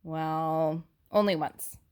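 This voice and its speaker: A woman reading a picture book aloud to young children in an animated teacherly way, pausing to ask questions and chat about the story. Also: deep voice, a little hiss or hum. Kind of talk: expressive read-aloud storytelling with asides and questions.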